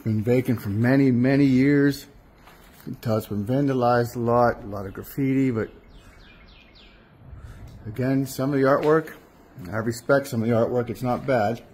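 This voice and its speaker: A man's voice: mid-range voice, moderate pace, long pauses, a little mellow, husky. A man narrating casually while walking through an abandoned industrial site, plain-spoken and correcting himself as he goes. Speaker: urbex vlogger. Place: outdoors and in a large abandoned industrial building.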